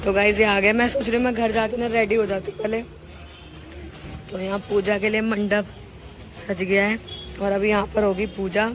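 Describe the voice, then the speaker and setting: A woman with a hoarse sore throat, chatting casually and teasing her relatives as she vlogs a family wedding from a handheld phone. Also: medium pitch, little pitch movement, moderate pace, long pauses, over music. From Uttar Pradesh, India. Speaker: vlogger host.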